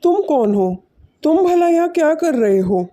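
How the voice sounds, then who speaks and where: A woman voicing a stern, wise male forest hermit in an animated moral story, speaking with curt authority. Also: sits high, a little slow.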